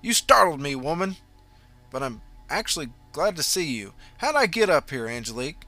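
A man voicing a greedy middle-aged landlord who has just died, speaking loudly and frantically with many questions. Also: a little high, big pitch swings, normal speed, faint music behind, voice pushed up, heavily acted. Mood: frantic.

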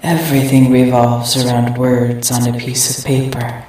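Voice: mid-range voice, completely flat delivery, a little mellow, lightly acted. A voice playing an echoing entity of nothingness. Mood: cold. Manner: slow, cold, detached pronouncements. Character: menacing female entity of nothingness, cold and cruel. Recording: heavy echo, distant from mic.

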